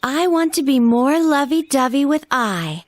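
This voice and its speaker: High-pitched, pampered teenage girl. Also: lively intonation, unhurried, dry recording. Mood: demanding, teasing. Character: pampered, blunt, cute teenage girl, demanding and playful with her boyfriend. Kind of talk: video game dialogue acting.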